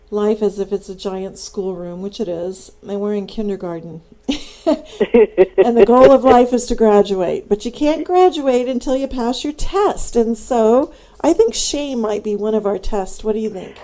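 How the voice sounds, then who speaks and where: A woman's voice: mid-range voice, mellow, poor audio. A middle-aged American woman hosting a conversational radio interview. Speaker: radio host, astrologer.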